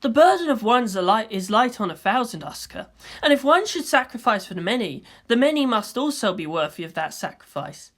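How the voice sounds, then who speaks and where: A woman voicing a calm, philosophical boy with firm conviction, speaking in measured, oratorical phrases for a fan fiction reading. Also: a little high. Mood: composed. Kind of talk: fan fiction audiobook character voice.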